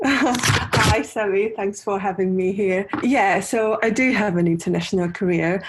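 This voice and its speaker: A woman from Hungary, a podcast guest, answering thoughtfully in a halting way with many repeated words. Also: mid-range voice, background noise. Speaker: guest, UX designer. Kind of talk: casual interview answers.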